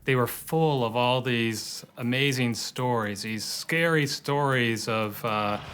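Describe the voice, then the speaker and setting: A man's voice: mid-range voice, slow pace, noisy background. A man telling historical anecdotes as a documentary interviewee. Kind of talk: storytelling commentary.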